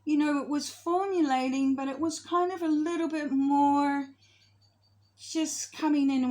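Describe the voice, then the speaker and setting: High-voiced woman in her fifties, rambling. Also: a little mellow. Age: 50s. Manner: rambling, loses her thread and corrects herself. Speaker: astrology vlogger. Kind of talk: casual spoken monologue to camera.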